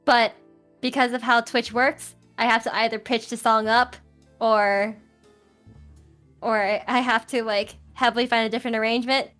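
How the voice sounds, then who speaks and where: A young woman with a high-pitched, loud voice, chatting casually as an interview guest, with many fillers and restarts. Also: level tone, moderate pace, soft background music. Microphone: home streaming mic with EQ and compressor.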